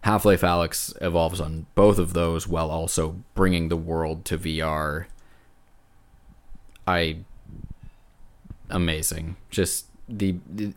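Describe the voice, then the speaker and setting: A man's voice: deep voice, a little mellow. A man co-hosting a casual video game discussion, speaking as an earnest, emphatic enthusiast. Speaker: podcast co-host.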